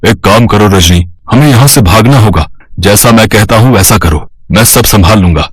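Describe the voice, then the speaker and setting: A man playing a scheming young lover and abusive husband in a melodramatic cartoon story, husky and sly. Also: lowish voice, moderate pace, dry recording. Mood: sly persuasion.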